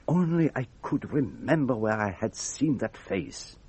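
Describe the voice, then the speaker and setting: An actor playing a fussy, shrewd middle-aged detective with a precise, pompous delivery in a radio drama. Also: male voice, mid-range voice, sing-song, a little slow, darkish tone, slightly rough, full character voice. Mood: pensive.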